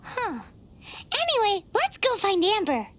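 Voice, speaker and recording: Super high squeaky little fairy sidekick for an anime game, chirpy but grumbly, really bouncy pitch. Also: female voice, wildly swooping pitch, measured pace, fairly bright tone, a little hiss or hum, heavily acted. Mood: unimpressed. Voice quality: squeaky, childlike. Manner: speaks of self in third person. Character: small childlike floating fairy companion, chirpy and grumbly. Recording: music behind the voice.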